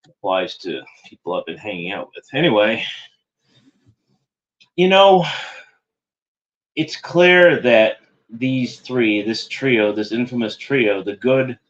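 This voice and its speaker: A man's voice: mid-range voice, lively intonation, takes it slowly, long pauses, muffled recording. A man hosting an internet show, delivering a meandering, sardonic monologue that keeps correcting itself. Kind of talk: rambling monologue commentary.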